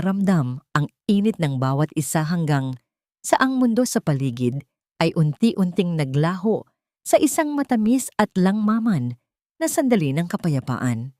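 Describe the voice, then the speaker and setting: A woman reading a scripted dramatic story in a measured, sentimental, deliberate way. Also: fairly low pitch, darkish tone, no echo, clean studio sound. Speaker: storyteller, narrator.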